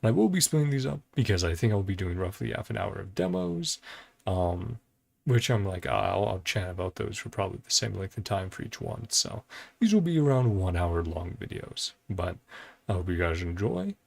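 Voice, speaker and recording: Gravelly, husky man giving chatty reaction commentary. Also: fairly low pitch, lively intonation, normal speed, dry recording. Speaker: host of a video reaction and ranking series.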